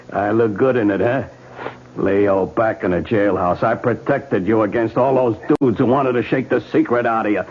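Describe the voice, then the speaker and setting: An actor playing a menacing, wheedling ex-convict crook with streetwise slang, in a radio drama. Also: male voice, on the low side, moderate pace, close to an everyday voice. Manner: streetwise slangy speech, pleading and threatening. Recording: narrow-band old radio recording, faint noise.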